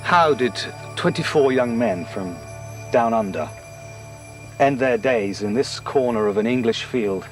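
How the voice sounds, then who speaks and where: Man narrating a documentary with lively pitch. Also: a little slow, faint music behind.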